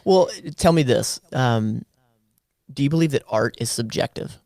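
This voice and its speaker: A man hosting a podcast in a mid-pitched, gravelly voice, encouraging and asking short questions. The recording is dry and close. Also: lively intonation, normal speed, darkish tone, dry recording. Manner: encouraging, brief prompts. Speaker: podcast host. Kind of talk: casual interview chat.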